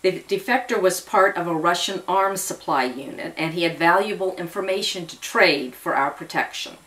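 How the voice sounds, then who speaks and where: A woman voicing a calm, serious older retired army intelligence man in an audio story, explaining in a measured way. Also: fairly low pitch, close to an everyday voice. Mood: grave. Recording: voice distant from the mic.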